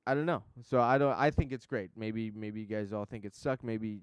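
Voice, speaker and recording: A man's voice: on the low side, moderate pace, clear, resonant tone, no room sound. A man hosting a podcast, chatting in a laid-back, rambling and enthusiastic way. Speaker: podcast host. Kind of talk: casual interview chat.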